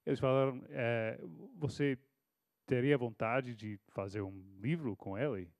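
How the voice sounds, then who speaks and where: A man's voice: medium pitch, measured pace, no room sound, dull audio. A man in his thirties from Texas, a native English speaker with an American accent, telling a story on a university panel in a hesitant way, with many restarts. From Texas, United States. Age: 30s. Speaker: panel speaker, foreign correspondent. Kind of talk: panel talk answering a question.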